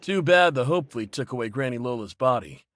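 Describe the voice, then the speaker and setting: An actor playing a hot-headed, resentful pirate in an anime game, brash and scornful in delivery. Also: male voice, medium pitch, expressive, fairly quick, fairly bright tone, close to an everyday voice. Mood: scornful, angry. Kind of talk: English anime game voice acting.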